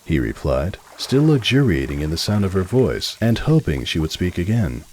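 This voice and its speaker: A man narrates a story in a dramatic style. His voice is low and moves expressively up and down, over faint background noise. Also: big pitch swings, moderate pace, a little mellow, low-quality recording.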